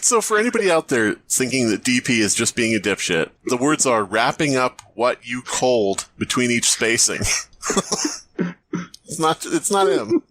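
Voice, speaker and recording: A man's voice: mid-range voice, big pitch swings, moderate pace, a bit bright, a little hiss or hum. A man co-hosting a podcast in casual comedic chat, swearing and riffing in an exasperated way. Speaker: podcast co-host. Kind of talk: casual comedic chat and mocking fan fiction read-aloud.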